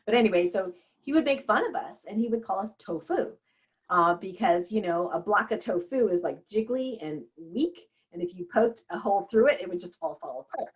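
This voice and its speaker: A woman, a native Chinese speaker, talks as a guest in a casual interview. She is chatty and drifts into asides, at an average pitch. The audio is somewhat dull and lo-fi. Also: expressive, brisk. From Canada. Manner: chatty, digressive. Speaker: interview guest, physician and author.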